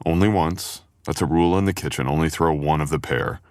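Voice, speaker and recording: Very deep-voiced, teasing boyfriend played by a man. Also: a little fast, a little mellow, no echo. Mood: playful, gentle. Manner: playful, teasing, intimate. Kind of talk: boyfriend ASMR roleplay audio acting.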